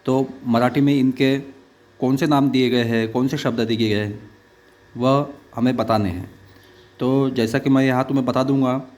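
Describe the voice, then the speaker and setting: Male teacher, halting delivery. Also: mid-range voice, steady tone, a little mellow. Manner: halting, with many "uh" fillers. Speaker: schoolteacher. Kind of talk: online class lesson.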